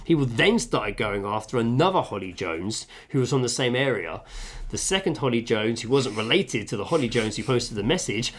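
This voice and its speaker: A man's voice: medium pitch, lively intonation, moderate pace. A man co-hosting a podcast from a home kitchen, reading a list aloud with dry, sweary asides. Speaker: podcast co-host.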